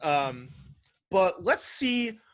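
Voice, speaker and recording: A man co-hosting a gaming podcast in casual chat, joking and sarcastic, swearing freely and often halting to rephrase. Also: sits high, very animated, a bit bright, no echo. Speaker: podcast co-host.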